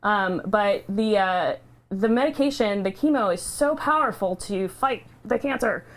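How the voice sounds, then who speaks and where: A woman speaking casually and earnestly, in halting, unscripted phrases, as a livestream host sharing a personal story. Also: mid-range voice. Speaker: charity livestream host sharing her cancer treatment story. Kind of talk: casual, heartfelt unscripted talk.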